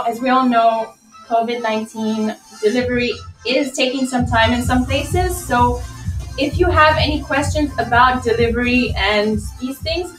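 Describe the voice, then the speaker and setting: A woman's voice: a little high, fairly bright tone, recorded from a distance. A woman in her twenties from Malawi, hosting a shopping live stream in a chatty, friendly, informal way. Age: 20s. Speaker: live shopping co-host and model. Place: indoor studio set. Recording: echoey room, off-mic, music.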